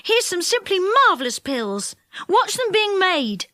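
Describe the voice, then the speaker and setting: A woman voicing an eager, excited boy in a storybook reading. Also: extremely high pitch, unhurried, dry recording, clean studio sound, voice pushed up, full character voice.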